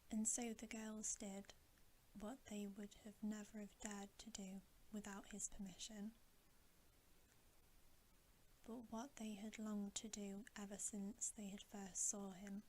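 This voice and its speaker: A woman reads a bedtime story in a gentle ASMR whisper, slow and even in delivery. Her soft, mellow sound keeps to a narrow range of pitch, with faint noise behind it. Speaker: ASMR channel host reading a bedtime story.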